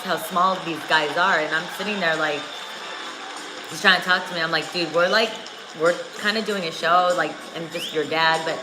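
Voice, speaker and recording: Woman, chatty boxing stream host, lowish voice, echoey room, loud crowd behind. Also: normal speed, background noise. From United States. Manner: chatty, excitable, talks back to viewers. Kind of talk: casual live-stream chat over a fight broadcast. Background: televised boxing commentary and crowd.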